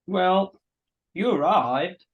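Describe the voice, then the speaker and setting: A man acting an awkward, apologetic host to a stranger in a staged play reading, halting and understated, on a dull recording. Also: high voice, animated, exaggerated voice. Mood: evasive, careful.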